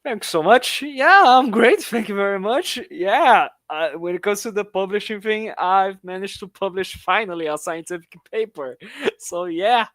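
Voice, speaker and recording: A man's voice: high-pitched, animated, unhurried, a bit bright, no echo, clean studio sound. A man chatting on a podcast, laughing often and restarting his sentences. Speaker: podcast co-host. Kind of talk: casual podcast chat.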